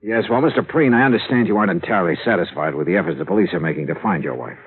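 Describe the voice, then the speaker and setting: An actor in a 1950s radio noir playing a wry insurance investigator, narrating and questioning in a dry, wisecracking way. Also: male voice, low-pitched, rapid-fire, slightly rough. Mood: probing. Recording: tinny old radio broadcast with music.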